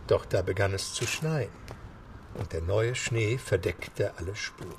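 A man giving steady, scripted storytelling narration for a radio drama. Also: on the low side, unhurried, slight background noise.

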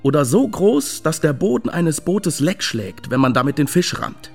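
An actor playing a wry, misanthropic fish lover in a German radio drama, telling things in a deliberate, matter-of-fact way. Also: male voice, fairly high pitch, very animated, vocal fry, slightly rough, soft background music, full character voice. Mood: wry, absorbed.

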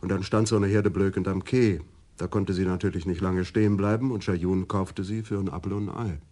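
A dry, stout police adjutant. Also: male voice, low-pitched, steady tone, fairly quick, mellow. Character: stout, easygoing middle-aged police adjutant. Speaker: radio drama actor. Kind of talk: 1980s German radio drama acting.

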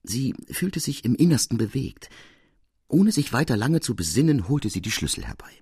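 A man narrating literary fiction in an expressive, suspenseful, dramatic style. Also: mid-range voice, normal speed, gravelly, slightly rough, no echo, studio quality.

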